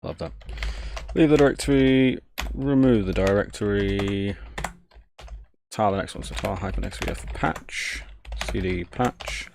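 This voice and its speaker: A man's voice: on the low side, a little slow, vocal fry, long pauses, noisy background. A man casually thinking aloud on a live tech stream, jokey and self-deprecating, muttering each step as he works.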